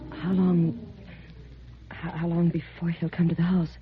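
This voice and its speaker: A woman's voice: fairly low pitch, flat delivery, measured pace, lightly acted. An actress playing a frightened, pleading wife and mother in a 1950s radio drama. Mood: terrified. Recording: muffled, noisy 1950s radio recording.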